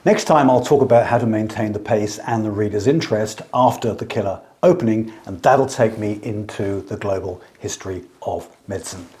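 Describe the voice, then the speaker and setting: A man, an author, giving a measured, scripted talk explaining how he writes fiction. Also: lowish voice, dark tone.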